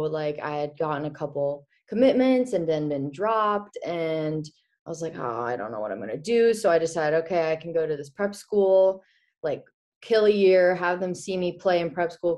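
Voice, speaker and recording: A woman in her twenties from the Czech Republic, chatting as a guest in a video-call interview, animated and quick to laugh. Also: low voice. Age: 20s. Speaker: guest, former college hockey player. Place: small indoor room. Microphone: laptop webcam on video call.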